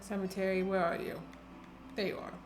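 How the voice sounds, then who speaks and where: Casting: female game streamer, medium pitch, offhand slangy remarks, echoey room mic. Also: level tone, a little fast, background noise. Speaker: live streamer. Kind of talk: casual gaming stream commentary. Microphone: streamer's microphone. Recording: roomy home mic, game music behind.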